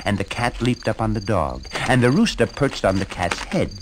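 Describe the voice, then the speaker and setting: A man narrating a storybook tale for a shadow puppet show in an expressive way. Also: lowish voice, moderate pace, background noise.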